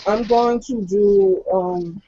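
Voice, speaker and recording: Mellow-voiced woman gamer, slightly muffled. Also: mid-range voice, fairly even intonation, slow pace, a little hiss or hum. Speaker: player in tabletop roleplaying game. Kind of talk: casual, joking gaming chat.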